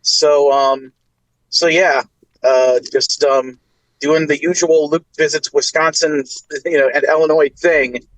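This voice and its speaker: A man's voice: fairly high pitch, fairly even intonation, slow, dry recording, rough recording. A man from the US Midwest co-hosting a podcast, telling stories in a rambling, chatty way. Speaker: podcast co-host. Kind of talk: casual podcast chat.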